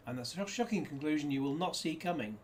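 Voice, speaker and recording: A man's voice: fairly high pitch, animated, fast, slight background noise. A man chatting casually through a disc unboxing, rambling with jokey asides, recorded indoors on a desk camera. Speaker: disc reviewer.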